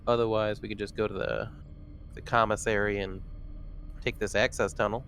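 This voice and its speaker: A man chats as a player in a tabletop game. He has a fairly low voice and an informal, slangy way of talking, with faint noise behind him. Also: little pitch movement, moderate pace, creaky, a bit bright. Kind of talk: casual game-table chat.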